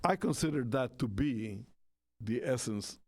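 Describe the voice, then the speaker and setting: A man's voice: mid-range voice, animated, measured pace, darkish tone, dry recording, studio quality. A man from Rica, co-hosting a casual radio talk show and searching for words with many restarts. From Costa Rica.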